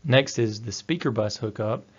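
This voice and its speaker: A man's voice: medium pitch, normal speed. A man giving an instructional technical presentation in a methodical, explanatory manner. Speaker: technical trainer. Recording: dull, lo-fi voice-over audio.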